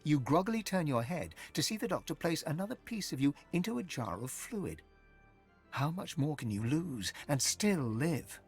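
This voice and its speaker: A man performing as an ominous storyteller, narrating a dark fantasy game in a measured, theatrical way over music. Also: fairly high pitch, sing-song, slightly rough, heavily acted. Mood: grim, ominous. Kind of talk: dramatic scripted second-person narration.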